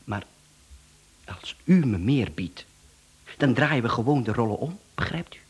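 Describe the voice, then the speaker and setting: A professional male hitman in a radio drama. His voice is a little husky and swings widely in pitch. He is businesslike and formal, proud of his trade and quick to take offence. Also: animated. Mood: brisk, businesslike. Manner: formal, smooth-talking salesman patter, indignant. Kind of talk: radio play acting.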